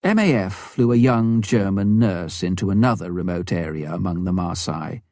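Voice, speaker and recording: A man reading a missionary story as an audiobook narrator, with a measured storytelling delivery. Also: deep voice, lively intonation, darkish tone, no room sound, clean studio sound.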